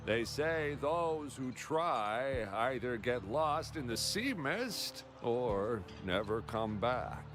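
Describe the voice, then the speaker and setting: A man's voice: mid-range voice, sing-song, slow, few pauses. An actor playing a chatty local townsman in a video game, sharing legends in an informal storytelling way. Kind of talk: video game character dialogue. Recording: game music behind the voice. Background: game background music.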